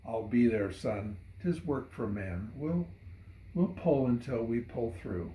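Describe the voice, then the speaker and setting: A man voicing a calm, devout farmer bishop with a gentle, subdued, fatherly manner in a poem reading. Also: mid-range voice, slow. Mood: calm, steadfast. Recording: roomy echo.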